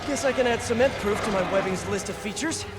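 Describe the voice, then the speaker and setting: A man's voice: high-pitched, fast talker, lightly acted. An actor playing a young, wisecracking male superhero and earnest mentor, in glib conversational video game voice acting. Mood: urgent, focused. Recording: loud music behind the voice.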